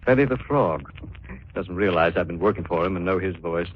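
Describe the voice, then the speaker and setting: A man acting as a wealthy, fearless amateur sleuth with a fairly low voice. He sounds suave and sure of himself, in classic 1940s radio drama manner. The sound is dull, with faint noise behind it. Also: a little fast, close to an everyday voice. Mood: calm, assured. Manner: suave, self-assured.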